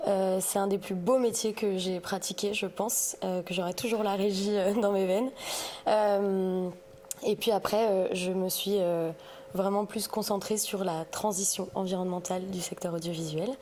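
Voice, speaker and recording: A woman speaking casually as a podcast guest, pausing often as she tells about her work. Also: medium pitch, steady tone, dark tone, slight background noise. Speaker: podcast guest, audiovisual sustainability consultant. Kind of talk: casual interview storytelling.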